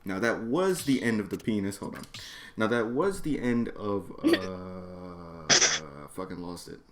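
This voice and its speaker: A man's voice: lowish voice, big pitch swings, measured pace. Man telling a profane story, noisy recording. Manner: profane, slangy, exasperated. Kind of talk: reading own comedic story aloud to friends. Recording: noisy background, mouse or mic clicks.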